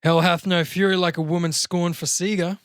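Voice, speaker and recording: A man's voice: high-pitched, flat delivery, brisk, clean tone, no room sound, studio quality, voice pushed up, close to an everyday voice. A man reading the part of a sarcastic, declamatory comic-book geek in a film comedy table read. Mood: mock-grand sarcasm. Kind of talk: first-time script table read.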